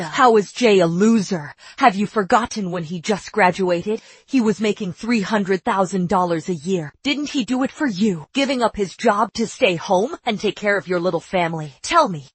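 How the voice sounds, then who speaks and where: A middle-aged mother whose voice swings widely as she scolds in outrage. The sound is slightly husky, close and dry. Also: mid-range voice, no echo. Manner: emotional, indignant scolding.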